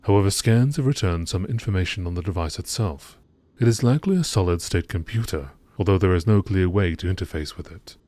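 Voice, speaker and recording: A man reading scripted science-fiction encyclopedia entries in a measured, formal nature documentary style. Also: sits low, warm tone, no room sound. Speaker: narrator reading game lore entries.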